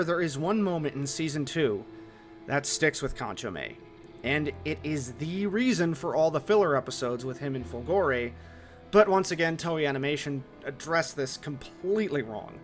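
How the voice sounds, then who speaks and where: A man's voice: fairly high pitch, expressive, moderate pace, faint music behind. A man narrating a scripted video essay, opinionated and conversational.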